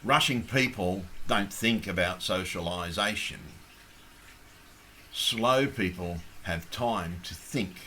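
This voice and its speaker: A croaky, hoarse Australian man making an impassioned, blunt appeal straight to camera, swearing heavily as he rants. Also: sits low, takes it slowly, sharp tone, slight background noise, rough recording. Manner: blunt, ranting, heavy swearing. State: hoarse, losing his voice. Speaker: activist.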